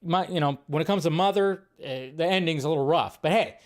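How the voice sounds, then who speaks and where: Guy rambling thru his live stream, kinda high voice, keeps restarting his sentences. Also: a little fast. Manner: rambling, thinking aloud with restarts. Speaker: host. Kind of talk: casual solo live-stream commentary.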